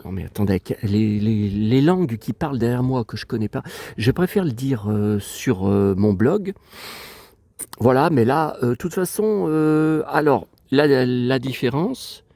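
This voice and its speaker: A man's voice: mid-range voice, mellow, no echo. Man, stuffy nose, sniffling, aggrieved rambling vlog talk, outdoors, dry sound. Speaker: vlogger. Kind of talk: casual rambling vlog chat. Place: outdoors in a cemetery.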